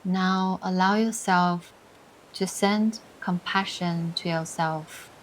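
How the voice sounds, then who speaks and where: A woman leading a scripted guided meditation in a soothing, deliberate way, pausing between short phrases. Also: on the low side, steady tone, slight background noise, rough recording. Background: music.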